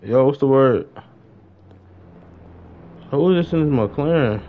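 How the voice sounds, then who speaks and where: A man's voice: mid-range voice, animated, takes it slowly, narrow, tinny audio. A young man chatting casually on a gaming livestream in slangy talk, often repeating his phrases. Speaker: live streamer.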